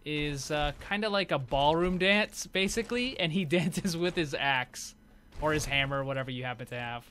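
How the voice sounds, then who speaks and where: A man's voice: on the high side, moderate pace, sharp tone, a little hiss or hum. A man giving casual, off-the-cuff commentary as host of a gaming showcase video.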